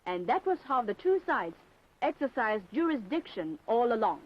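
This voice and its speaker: A woman's voice: a little high, big pitch swings, moderate pace. A woman narrating a scripted propaganda documentary in a formal, emphatic, declamatory style. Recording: dull 1960s film soundtrack.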